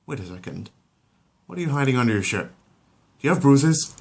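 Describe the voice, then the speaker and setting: A man's voice: mid-range voice, sing-song, a little fast, vocal fry, voice pushed up. A man voicing an oafish, hot-headed cartoon father in a blustering, indignant manner. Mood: agitated, concerned.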